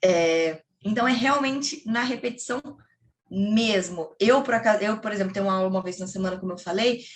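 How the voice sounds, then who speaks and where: A woman who teaches English, talking casually to fellow teachers in a training session, chatty and animated, acting out classroom chants. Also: mid-range voice, a little fast, slight background noise. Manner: chatty, lively, quotes children and classroom chants. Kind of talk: casual explanatory talk to colleagues.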